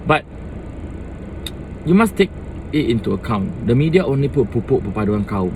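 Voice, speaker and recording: A man's voice: mid-range voice, big pitch swings, normal speed, background noise. Impassioned Malaysian man ranting outdoors. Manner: impassioned, switching between English and Malay. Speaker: vlogger. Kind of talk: casual opinion rant to camera.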